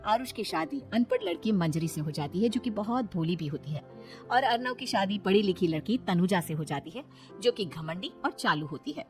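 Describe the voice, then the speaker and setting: A woman narrates an animated family tale in a medium-pitched voice. The delivery is scripted storytelling, with background music running underneath. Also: moderate pace. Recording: music behind the voice.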